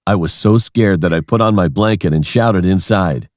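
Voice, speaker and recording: A man's voice: low voice, moderate pace, no room sound, studio quality. A man reading a scripted first-person horror story as its narrator.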